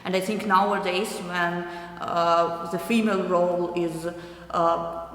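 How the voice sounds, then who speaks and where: A young adult woman from eastern Ukraine with a Ukrainian accent, answering interview questions thoughtfully with many hesitant pauses. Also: lowish voice, fairly even intonation. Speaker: artist interviewee. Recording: roomy hall with long echo.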